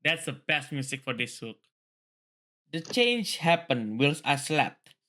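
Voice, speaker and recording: Male, very bright forceful voice, read-along host, casual, repetitive. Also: on the high side, big pitch swings, slow. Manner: rambling, repeats phrases. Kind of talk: book reading mixed with casual commentary.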